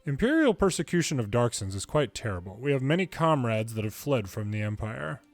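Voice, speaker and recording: A man's voice: mid-range voice, big pitch swings, brisk, dry recording. A man reading video game story dialogue aloud in English, lightly acting out the different characters. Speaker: fan reader of game dialogue.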